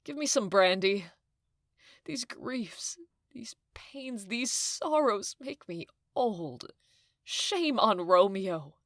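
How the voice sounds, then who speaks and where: A woman's voice: mid-range voice, lively intonation, drawn-out words, no echo, clean studio sound. A woman playing an overwrought older nurse in an audio drama, lamenting breathily and repeating herself. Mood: bitter. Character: wailing, overwrought older female nurse, bitter servant. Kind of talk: audio drama acting of modernized Shakespeare.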